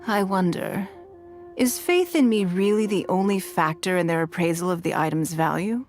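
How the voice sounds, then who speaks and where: A woman's voice: on the low side, normal speed, darkish tone, close to an everyday voice. Woman voicing a rich shrewd game lady, composed and formal, music behind her. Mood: composed, knowing. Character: poised, shrewd wealthy woman running an auction. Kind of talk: video game voice acting.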